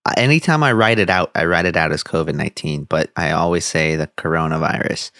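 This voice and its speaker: Young male podcast host, very low, dry sound, easygoing, halting. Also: a bit bright, no room sound. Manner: laid-back, stumbling restarts. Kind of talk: casual chat. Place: home, on a video call.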